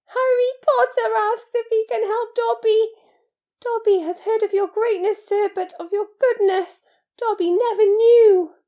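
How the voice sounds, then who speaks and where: A woman voicing a small, squeaky, breathy male house-elf in an audiobook, servile, fearful and devoted, pleading in the third person. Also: steady tone, measured pace, dark tone. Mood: reverent.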